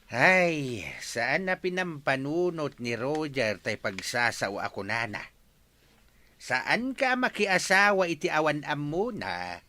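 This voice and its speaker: Man acting a forceful, scolding older father. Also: fairly high pitch, sing-song, slow pace, exaggerated voice. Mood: disapproving. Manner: scolding, grumbling. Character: disapproving father, grumbling over his lazy daughter-in-law. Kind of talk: radio comedy drama acting.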